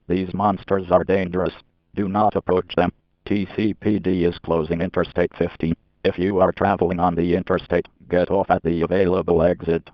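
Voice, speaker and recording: A man's voice: low voice, no echo. A man's voice reading out a scripted emergency alert as an announcer. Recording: dry, dull lo-fi alert audio.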